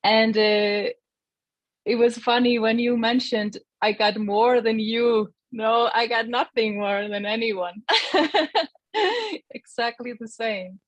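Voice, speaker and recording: A woman from Iceland, giving a gentle, reflective personal testimony as a guest and often searching for her words. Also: a little high, steady tone, slow, dry recording, highs cut off.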